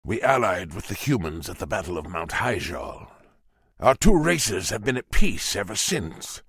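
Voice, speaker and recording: An old orc sage from a fantasy game, lowish in pitch with lively swings. He is scholarly and thoughtful, a calm mentor recorded close and dry. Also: measured pace, slightly rough, no room sound, exaggerated voice. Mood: firm, cautious. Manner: thoughtful, expressive, explanatory. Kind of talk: video game voice acting.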